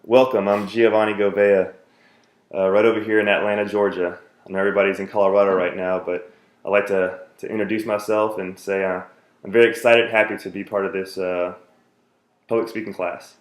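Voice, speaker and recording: A man's voice: on the low side, level tone, brisk, roomy sound. A man in his thirties, from the United States, giving an earnest self-introduction speech for a class, with many fillers and restarts.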